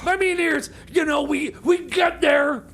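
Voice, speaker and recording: A man voicing an excitable, aggrieved time machine mechanic in comedy radio theater, stammering and repeating himself indignantly. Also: measured pace, heavily acted. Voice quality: creaky, high voice. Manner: stammering, repetitive, indignant outbursts.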